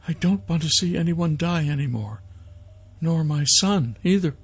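A man voicing a frightened, grieving young mother, wary and protective, with a hushed, pleading manner, in an audiobook. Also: a little high, lively intonation, normal speed, husky, faint music behind, voice pushed up. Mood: weary, afraid.

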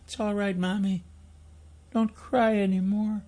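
A man's voice: high-pitched, level tone, measured pace, darkish tone, pitched above the performer's own voice. A man voicing a frightened, solemn little boy who speaks in simple, earnest phrases, in a storybook narration. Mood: comforting.

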